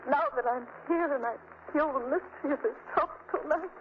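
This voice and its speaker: A woman's voice: high voice, a little fast, lightly acted. A woman playing a tearful, fretful young fiancée. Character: anxious young woman seeking her fiancé. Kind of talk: 1930s radio acting. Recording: tinny, hissy old radio broadcast.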